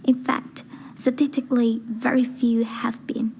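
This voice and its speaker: A woman with a fairly high, breathy voice recites a formal speech. Her delivery is measured and deliberate, with very little melodic movement. The tone is soft-edged and mellow, over faint background noise. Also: flat delivery, rough recording. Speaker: amateur voice-acting student reciting a speech.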